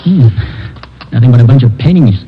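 An actor playing an alert, excitable investigator's helper in an old-time radio drama. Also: male voice, mid-range voice, normal speed, close to an everyday voice. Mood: curious, surprised. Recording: dull, hissy old radio recording.

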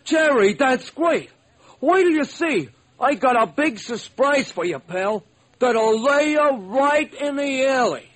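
An actor playing an eager, gullible, good-hearted ex-crook in an old-time radio drama, with folksy, excitable, slangy speech. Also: male voice, very high-pitched, slow, full character voice. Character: eager, gullible, good-hearted ex-burglar diner owner, male. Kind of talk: old-time radio comic sidekick acting. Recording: slightly noisy old radio recording.

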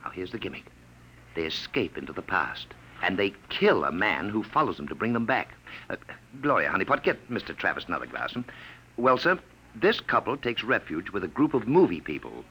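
An actor playing a smooth-talking, coaxing pursuer from the future who poses as a filmmaker, in 1950s radio drama style. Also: male voice, low voice, very animated, fairly quick, pushed and bright, full character voice. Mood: sly. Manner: glib, coaxing, commanding. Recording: noisy old 1950s radio recording.

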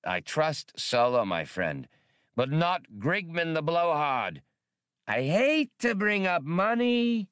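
A man reading aloud and voicing an outgoing female alien primate wheeler-dealer in a high, lilting put-on voice. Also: big pitch swings, slow, a bit bright, clean tone, no echo, clean studio sound, exaggerated voice. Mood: sly.

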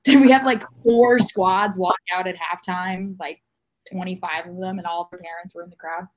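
A woman's voice: lowish voice, fairly even intonation, normal speed, rough recording. A woman co-hosting a sports podcast with wry banter and laughing asides. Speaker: podcast co-host. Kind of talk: casual sports chat.

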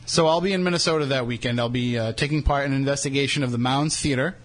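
A man's voice: medium pitch, fast. Joking Boston radio host man. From New England. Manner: joking, self-deprecating. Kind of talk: casual radio banter.

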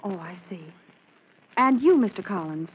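Eager young magazine writer, old radio show, swoopy voice, muffled recording. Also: female voice, mid-range voice, expressive, moderate pace, husky. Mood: gracious, curious. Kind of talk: old-time radio drama acting.

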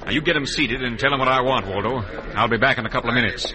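An actor playing a shrewd, commanding cattle rancher villain in a radio western, with a folksy American western accent. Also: male voice, mid-range voice, racing, a crowd in the background, close to an everyday voice. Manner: folksy, sly, authoritative. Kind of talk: old-time radio western acting. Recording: noisy old radio recording.

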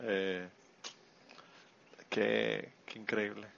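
A man's voice: fairly low pitch, level tone, drawn-out words, creaky, a bit bright, slight background noise. A man hosting a casual conversation, friendly and given to laughter and rambling asides. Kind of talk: casual interview chat. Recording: muffled lo-fi dry audio.

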